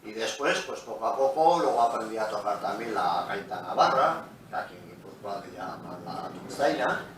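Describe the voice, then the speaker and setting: A middle-aged man with a slightly low voice recounts his life as a musician in a relaxed, storytelling manner. His phrases tend to trail off quietly at the end. He sounds distant from the mic in a very echoey room with faint background noise. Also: normal speed, hardly any pauses. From Pamplona, Spain. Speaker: guest musician. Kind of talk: relaxed interview answers.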